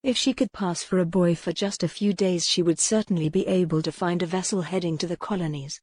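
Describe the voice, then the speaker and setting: Low-pitched woman narrating an audiobook. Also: brisk, a little mellow, no room sound, clean studio sound. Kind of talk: scripted narration of a historical romance novel.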